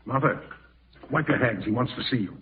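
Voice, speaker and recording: An actor playing a curt, scheming husband who bosses and distrusts everyone, in 1940s radio horror style. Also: male voice, mid-range voice, fairly quick, a little hiss or hum, natural voice. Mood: bossy, menacing. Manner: curt, bossy, suspicious. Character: suspicious, domineering, scheming husband and nephew. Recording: tinny vintage radio broadcast.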